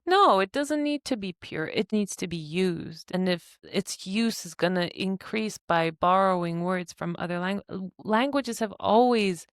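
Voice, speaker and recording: A woman's voice: lowish voice, clear, resonant tone, no room sound. A young woman with a light Turkish-tinged accent, co-hosting a casual podcast in a home studio, talking animatedly with frequent fillers and laughs. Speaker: podcast co-host.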